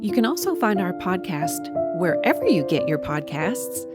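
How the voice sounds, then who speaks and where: Loud piano music plays under a woman announcer. She reads a scripted podcast sign-off in a slightly low-pitched voice. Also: big pitch swings, moderate pace. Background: prominent piano music.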